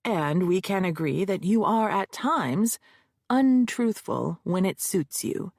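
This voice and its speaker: A woman's voice: mid-range voice, expressive, slow, a little mellow, no echo, clean studio sound. A woman voicing a male defense lawyer, firing off cold, pointed questions in a cross-examination. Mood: needling. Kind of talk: audiobook character reading.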